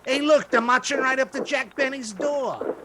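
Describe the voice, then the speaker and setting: An actor playing an excitable, wisecracking working-class bystander with slangy talk in a 1940s radio comedy. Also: male voice, very high-pitched, normal speed. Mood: excited, wisecracking. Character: rough, excitable working-class street bystander, comic gossip. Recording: heavy background noise.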